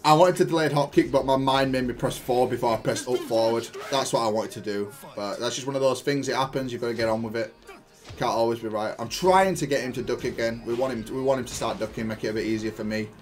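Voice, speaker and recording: A man's voice: mid-range voice, quick pace. A man giving explanatory gameplay commentary as a player, thinking aloud through his match in gaming jargon. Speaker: gaming YouTuber and player analysing own match.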